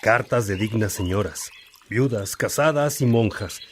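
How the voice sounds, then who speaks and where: A man playing a thoughtful, fraternal monk and preacher in a radio drama, speaking in a measured, didactic way. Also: fairly low pitch, a little hiss or hum, natural voice. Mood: friendly, reflective. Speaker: actor in a religious radio drama.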